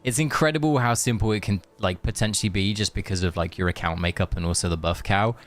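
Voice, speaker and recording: A man's voice: lowish voice, quick pace. A man chatting casually on a game live stream, rambling and answering viewers as he plays. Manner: rambling, chatty, reads chat aloud.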